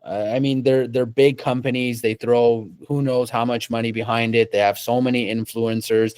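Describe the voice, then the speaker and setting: A young man from Canada talks about his trade in a relaxed, rambling way, stumbling over and repeating his words as he goes. His voice sits at an ordinary pitch and is recorded dry, with no room sound. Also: moderate pace, clean tone, no echo. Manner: hesitant, repeats words, restarts. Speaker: rug dealer guest. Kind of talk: casual interview answers. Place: his rug shop, at his desk.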